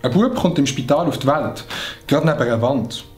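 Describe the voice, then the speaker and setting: A man voicing a solemn young man who reads a poem in a lower, deadpan recital voice, in a roomy space. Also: fairly quick, soft background music, close to an everyday voice.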